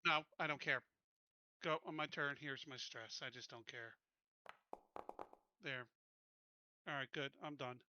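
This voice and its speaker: A man's voice: mid-range voice, a little slow, long pauses, muffled recording, background noise. A man playing a tabletop game, chatting casually in a blunt, exasperated, grumbling way.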